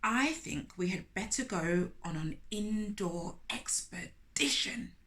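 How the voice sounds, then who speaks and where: A woman's voice: medium pitch, animated, slow pace, a bit bright. A woman voicing a cheerful, adventurous grandmother in a children's storybook, encouraging and playful. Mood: playfully planning.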